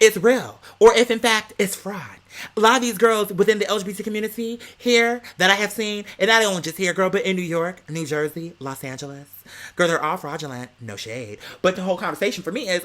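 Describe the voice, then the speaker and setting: A man's voice: high-pitched, fairly bright tone. An American man telling a casual story as a rant to viewers, with a sassy, theatrical, slang-heavy way of talking. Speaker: vlogger.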